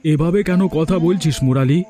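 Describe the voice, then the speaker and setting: A man voicing a well-meaning village man in a cartoon, earnest and measured. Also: fairly high pitch, dark tone, soft background music, natural voice. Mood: hurt. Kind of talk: Bengali cartoon voice acting.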